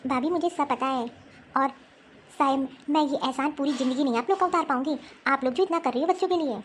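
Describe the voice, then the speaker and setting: A woman's voice: high-pitched, quick pace, slight background noise, natural voice. A woman playing a grateful visiting aunt. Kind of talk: homemade family skit acting.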